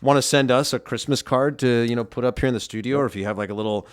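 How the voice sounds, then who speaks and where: A man's voice: medium pitch, racing, no room sound. A young man co-hosting a casual podcast, gushing and enthusiastic, chatting in a studio. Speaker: podcast co-host.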